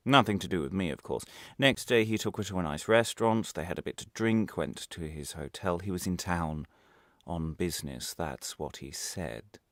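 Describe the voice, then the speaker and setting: A man performing a radio monologue as a defensive, wry prisoner recounting his arrest, halting and correcting himself with sudden emphasis. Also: sits low, dry recording, clean studio sound. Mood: wry, hesitant. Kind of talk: dramatic radio monologue, confessional first-person narration.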